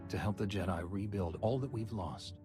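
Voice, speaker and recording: A man's voice: lowish voice, normal speed, over music, natural voice. An actor voicing a stalwart, heroic male Jedi commander in a video game cutscene. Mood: sincere.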